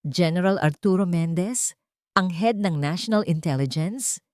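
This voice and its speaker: A woman's voice: on the low side, a little slow, darkish tone, dry recording, clean studio sound, close to an everyday voice. A woman voicing an anguished, defiant grown child in an audio story, emotional and exclaiming. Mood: stunned. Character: anguished, defiant adult child, narrator of the tale.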